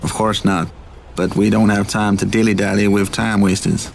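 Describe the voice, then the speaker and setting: A man playing a suspicious, jealous ex-cop on a train in an amateur radio play, with a gravelly voice. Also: lowish voice, level tone, normal speed. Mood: stern, probing. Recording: noticeable background noise.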